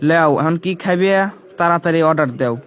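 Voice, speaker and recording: A man's voice: fairly high pitch, fairly quick, fairly bright tone, clear, resonant tone, natural voice. A man with a Pabna accent from Bangladesh voicing a grumbling, money-anxious henpecked husband in a comic cartoon dub. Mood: weary, placating. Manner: muttering complaints. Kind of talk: comic cartoon dubbing in dialect.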